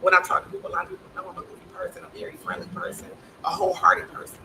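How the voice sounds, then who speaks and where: A woman in a casual group discussion with a deep, aggressive-sounding voice, talking bluntly and insistently with plenty of swearing. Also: wildly swooping pitch, very fast. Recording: distant mic with clear background noise.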